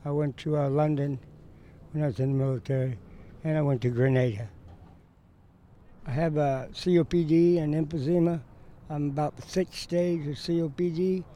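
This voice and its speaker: A man from Kansas City talking in a plain-spoken, halting way in an interview. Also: a little high, fairly even intonation, mellow, a little hiss or hum. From Kansas City, USA. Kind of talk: interview testimony.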